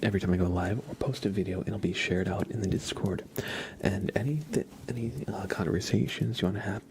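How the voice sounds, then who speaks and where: A man streaming ASMR, chatting softly and gently in a breathy, often whispered voice, unhurried and informal, close to the microphone. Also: low voice, steady tone, a little hiss or hum. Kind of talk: soft-spoken ASMR livestream chat.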